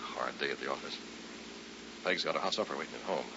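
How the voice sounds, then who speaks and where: A man's voice: extremely low pitch, fast talker. An actor playing an irritable, cocky male commuter grumbling to himself in a vintage radio drama. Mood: impatient, grumbling. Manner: muttering to himself, exclamatory. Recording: muffled, very noisy old radio recording.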